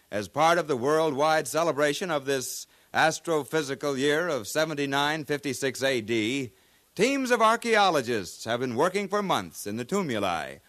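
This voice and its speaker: Courteous future news correspondent, animated, earnest, close dry sound, 1950s radio drama. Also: male voice, fairly high pitch, no echo. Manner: earnest, polished reporting.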